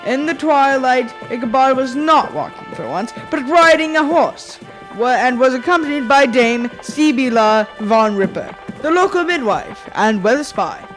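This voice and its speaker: A woman's voice: high voice, animated, moderate pace, rough recording. Female radio play storyteller over faint music. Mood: storytelling. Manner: formal, literary phrasing. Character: storyteller narrator of a gothic folk tale. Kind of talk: scripted radio play narration.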